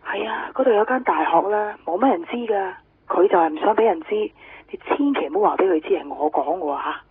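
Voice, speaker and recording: A woman acting an urgent, secretive young friend in a Hong Kong Cantonese radio drama, with a husky voice. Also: mid-range voice, brisk, a little hiss or hum, natural voice. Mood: urgent, confiding. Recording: tinny phone-like radio recording.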